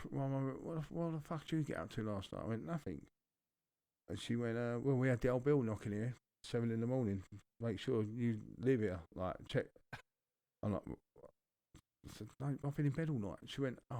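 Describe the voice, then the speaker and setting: A man's voice: medium pitch, no room sound. A man with a London accent, co-hosting a podcast and telling a long rambling anecdote with plenty of swearing. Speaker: podcast co-host. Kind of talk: casual storytelling chat.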